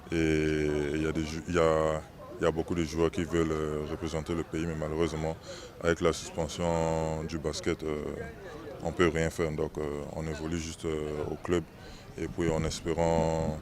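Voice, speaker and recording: A young man with a very deep, flat voice answers interview questions. He is a basketball player from the Republic of the Congo, and he talks haltingly, full of fillers and restarts. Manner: hesitant, many fillers and restarts.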